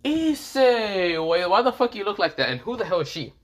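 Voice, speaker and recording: A man's voice: high-pitched, wildly swooping pitch, fast, voice pushed up, full character voice. A cowardly young man who begs and shrieks in panic, as a man puts him on. His voice leaps up and swings wildly. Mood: rude. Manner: frantic, begging, shrieking.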